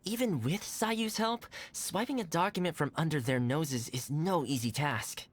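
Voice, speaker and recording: An actor playing a friendly, boyish young retainer in an anime game, casual and expressive in delivery. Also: mid-range voice, normal speed, close to an everyday voice. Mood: doubtful. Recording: background music under the voice. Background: music.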